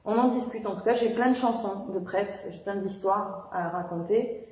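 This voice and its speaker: A woman's voice: mid-range voice, moderate pace, darkish tone. Hesitant young French-speaking woman, echoey room. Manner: hesitant, many fillers and restarts. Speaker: singer being interviewed. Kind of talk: casual interview chat.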